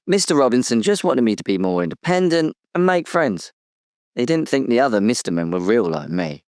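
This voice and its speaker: A man's voice: medium pitch, sing-song, fairly quick, a little mellow, no echo, clean studio sound, close to an everyday voice. A British man playing a tiny, aggrieved, boastful cartoon-book character in an audio drama interview, chatty and colloquial. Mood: grumbling, candid.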